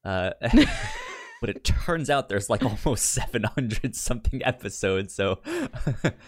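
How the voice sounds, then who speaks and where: A man's voice: mid-range voice, huge pitch swings, slow pace, husky, dry recording. A man co-hosting a podcast in a casual, chatty style, often stammering and repeating the starts of words. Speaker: podcast co-host. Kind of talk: casual chat about TV sitcoms.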